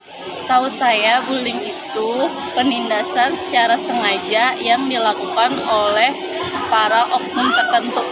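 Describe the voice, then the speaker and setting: Young woman over loud noise. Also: a little high, fairly even intonation, measured pace. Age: 20s. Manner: plain, candid answers. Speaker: interviewee.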